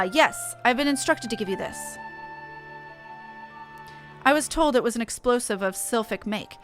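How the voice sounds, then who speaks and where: Woman playing a high, declamatory fantasy adventurer. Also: a bit bright. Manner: declamatory, formal. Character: brisk, officious fantasy-game ally rallying for battle.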